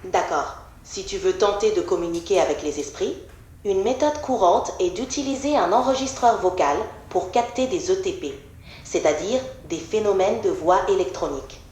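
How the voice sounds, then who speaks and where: A computer-generated woman's voice answering as an AI assistant, measured and polite. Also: mid-range voice, expressive, darkish tone, reverberant. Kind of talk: calm scripted assistant replies.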